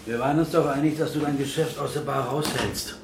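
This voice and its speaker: An actor in a radio drama playing a firm bar boss who speaks bluntly and gruffly but familiarly. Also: male voice, mid-range voice, fairly even intonation, a little fast, noisy background, lightly acted. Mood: stern. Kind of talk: naturalistic German radio drama.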